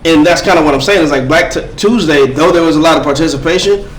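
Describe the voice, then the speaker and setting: A man's voice: on the high side, fast. A man co-hosting a podcast, talking casually in a loose, joking, profane way. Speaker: podcast co-host. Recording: roomy, distant mic, faint background hum.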